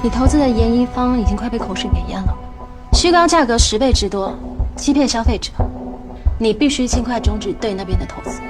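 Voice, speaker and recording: A woman's voice: on the high side, animated, a little fast, over music, lightly acted. An actress playing a composed, guarded young businesswoman in a screen drama. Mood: firm, urgent.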